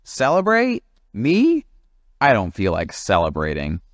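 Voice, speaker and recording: A man's voice: fairly low pitch, huge pitch swings, a little slow, dry recording, studio quality, voice pushed up. A man voicing a grumpy, complaining monkey in a storybook, whiny and exasperated. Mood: grumpy refusal.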